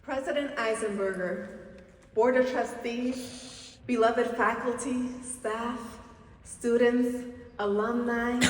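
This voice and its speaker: A woman from the Dominican Republic giving a commencement keynote, speaking slowly and deliberately with emphatic pauses. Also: fairly high pitch, a little hiss or hum. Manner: slow, deliberate, rhetorical speech with emphatic pauses. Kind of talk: commencement speech. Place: large auditorium stage. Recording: distant, echoey hall with long reverb.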